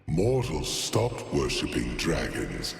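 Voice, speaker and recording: A man voicing a haughty, ancient celestial dragon god in a video game, pompous and theatrical, with drawn-out disdain. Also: very deep voice, huge pitch swings, vocal fry, heavily acted. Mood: disdainful. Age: older. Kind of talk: video game character voice lines. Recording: echoing hall reverb over music.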